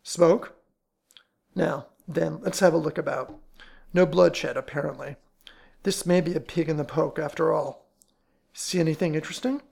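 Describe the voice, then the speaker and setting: Woman doing a brisk gentleman detective, polite but bossy, lowish and creaky, storybook reading. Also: full character voice. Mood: calm, wry. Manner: polite, educated, commanding.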